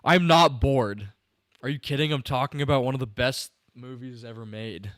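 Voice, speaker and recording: Young male streamer, mid pitch, smooth clear voice, dry close mic, a bit tired, rambly. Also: normal speed, fairly bright tone, no echo. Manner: rambling, restarts sentences, talks to chat viewers. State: slightly tired. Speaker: podcast host livestreaming a film breakdown. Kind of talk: casual enthusiastic film commentary to chat.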